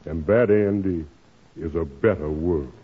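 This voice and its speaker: An actor playing a kindly, earnest preacher who gives moral counsel in a measured, sermon-like way, in 1940s radio drama style. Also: male voice, sits low, breathy edge. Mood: earnest, preaching. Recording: tinny old radio broadcast.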